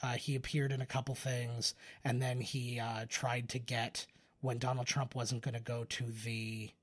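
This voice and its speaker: A man's voice: mid-range voice, flat delivery, unhurried. A man co-hosting a podcast with casual film commentary, rambling and joking with plenty of swearing. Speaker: podcast co-host.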